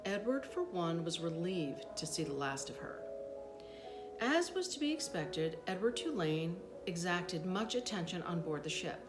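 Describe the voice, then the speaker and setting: A woman reads a children's story aloud with a lively, swooping delivery and clear music behind her. She uses dramatic pauses and steps out briefly for asides, like a storytime host. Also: fairly low pitch. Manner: animated, dramatic pauses, acts out dialogue.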